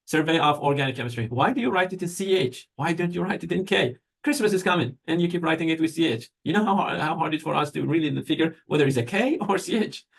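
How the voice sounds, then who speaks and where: A man's voice: fairly high pitch, fast, gravelly, few pauses. A man with a Persian accent, a native Persian speaker, giving an informal university lecture in a conversational way, putting questions to students and answering them.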